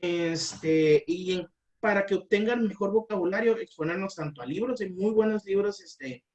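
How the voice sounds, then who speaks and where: A man's voice: sits high, moderate pace, darkish tone, far from the mic, dull audio. A man explaining language learning as an interview guest, with many fillers and restarts.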